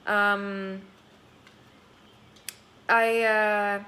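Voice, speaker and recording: A woman's voice: medium pitch, flat delivery, very slow, sharp tone. A young adult woman, a singer, chatting casually in an interview, hesitant with lots of fillers and restarts. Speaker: singer, guest.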